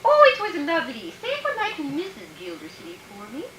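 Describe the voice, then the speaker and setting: A woman acting a very high-voiced, prim wife. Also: huge pitch swings, brisk, a bit bright, heavily acted. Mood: polite, gracious. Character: prim, dearie-calling wife, sweetly polite, privately critical. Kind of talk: old-time radio comedy acting on stage. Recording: roomy, noisy stage recording.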